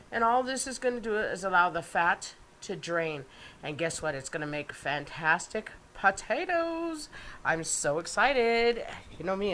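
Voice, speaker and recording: A middle-aged woman with a stuffed-up, nasal voice, chatting excitedly through a cooking demonstration in her home kitchen. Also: fairly low pitch, slight background noise. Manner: chatty and excitable, with many ums and asides.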